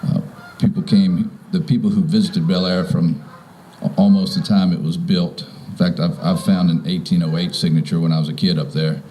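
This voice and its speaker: A mature man with a very deep and dark, relaxed voice. He gives an informal welcome speech, often stopping and rephrasing mid-thought. There is some background noise around him outdoors. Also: moderate pace, hardly any pauses, rough recording. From Virginia, United States. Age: 50s or older. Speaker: property owner welcoming guests. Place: outdoors in front of a house.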